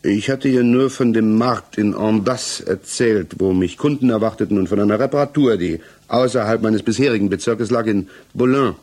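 An actor playing a dejected, cornered husband under interrogation in a 1960s German radio play, speaking hesitantly. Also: male voice, fairly low pitch, a little mellow, close to an everyday voice. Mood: subdued, halting. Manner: halting, hesitant, subdued. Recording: mono 1960s radio recording.

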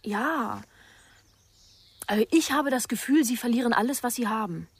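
Anxious daughter, young, higher-pitched, husky, hesitant, subdued, radio crime drama. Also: female voice, moderate pace, dry recording. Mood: anxious, guarded. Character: frightened, guarded daughter of a respected family.

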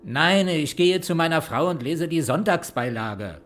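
An actor playing an odd, surly small-town mechanic in a crime radio play, dry and curt in speech. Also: male voice, a little high, lively intonation, unhurried, a bit bright. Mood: dry, reserved. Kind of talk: radio drama dialogue.

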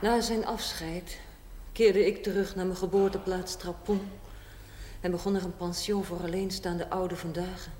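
An actress playing a worldly, unrepentant older woman in a television drama, speaking deliberately and theatrically with dry sarcasm. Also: on the low side, natural voice. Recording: echoey hall with steady hiss.

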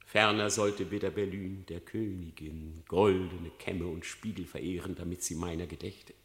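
A man's voice: sits low, fairly even intonation, moderate pace, a bit bright, roomy sound. An actor playing a cunning male fox on trial in a radio play, pleading and then defiant. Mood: wheedling. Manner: pleading, wheedling, rising to bold defiance.

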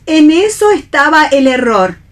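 An effusive, high-pitched pious zealot. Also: female voice, very animated, unhurried. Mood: righteous. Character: effusive, pious female zealot bent on saving souls. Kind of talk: staged radio play reading.

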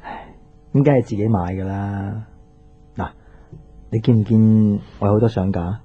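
An actor with a Hong Kong Cantonese accent playing an easygoing, teasing young working boyfriend in a radio drama. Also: male voice, on the low side, steady tone, normal speed, breathy edge, phone-quality sound, soft background music. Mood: casual, patient. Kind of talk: naturalistic radio drama dialogue.

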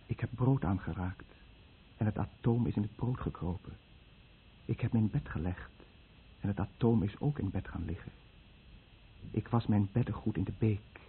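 An actor playing a guilt-ridden Japanese fisherman in a radio drama, speaking solemnly and deliberately as he warns his listeners. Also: lowish voice, lightly acted. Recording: old radio play, tinny narrow band, faint noise.

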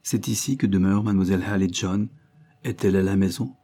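A man reading an audiobook, voicing a brash, quick-tempered young maid in a raised, vehement voice. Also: fairly even intonation, warm tone. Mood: imperious. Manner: high-pitched, vehement, indignant. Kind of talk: audiobook character voice.

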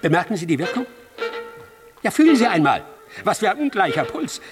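An actor playing a pompous, cruel doctor in a radio drama, lecturing students in a declamatory, mocking manner. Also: male voice, high-pitched, sing-song, a little fast, over music. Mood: condescending.

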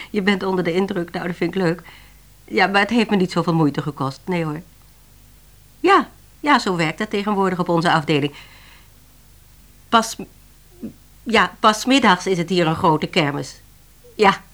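A woman's voice: lowish voice, fairly bright tone. An actress playing a busy, slightly exasperated woman who heads a publishing department, in radio drama dialogue.

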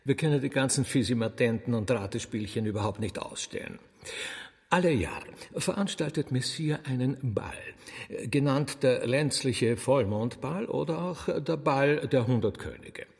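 Gravelly Austrian demon showman in a hall. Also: mid-range voice, darkish tone. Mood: confiding, theatrical. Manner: rambling, chuckling, theatrically courteous, drawn-out words. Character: sly, chatty demon magician, former choirmaster, showman servant. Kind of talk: radio drama acting. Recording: long hall reverb.

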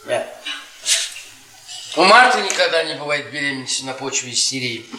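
An actor playing a bitter, worn-down middle-aged history professor, a sardonic storyteller speaking in deliberate, theatrical radio-drama style. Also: male voice, medium pitch, animated, lightly acted. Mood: mocking, brooding. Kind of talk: Soviet radio theatre acting, dramatic monologue. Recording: roomy stage-like echo, distant from microphone.

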